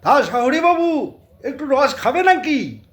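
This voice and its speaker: A man's voice: very high-pitched, very animated, slow, heavily acted. A man playing a friendly, hospitable rustic villager who taps date palms, in an audio drama. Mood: friendly coaxing. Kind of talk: Bengali audio story acting.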